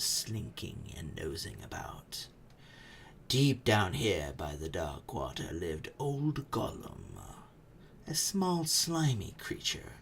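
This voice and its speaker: A storyteller giving a dramatic, suspenseful reading of a book, with phrases often falling away into a hush. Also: medium pitch. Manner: expressive, suspenseful, hushed phrase endings. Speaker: narrator. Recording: faint background noise.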